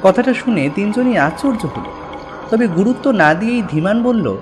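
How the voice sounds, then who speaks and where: Guy narrating a ghost story, dramatic, swoopy highish voice, music behind. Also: animated, normal speed.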